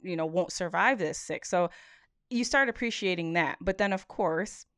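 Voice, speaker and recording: A woman in her thirties chatting casually as a podcast co-host, animated and opinionated, often acting out what other people say. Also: fairly low pitch, normal speed, dry recording, studio quality. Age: 30s. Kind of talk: casual conversational chat with some swearing.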